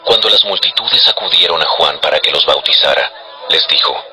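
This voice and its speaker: A man narrating scripture as a scripted dramatization, in measured, declarative storytelling. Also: sits low, sharp tone, soft background music, low-quality recording.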